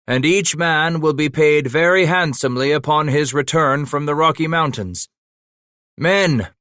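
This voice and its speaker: A man's voice: on the high side, measured pace, a bit bright, dry recording, studio quality, voice pushed up. A man voicing a commanding middle-aged caravan leader with a Scottish accent, giving formal, emphatic orders. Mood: stern.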